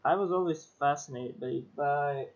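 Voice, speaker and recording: A man from Serbia, a streamer chatting casually and thinking aloud with hesitant pauses. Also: fairly high pitch, muffled recording.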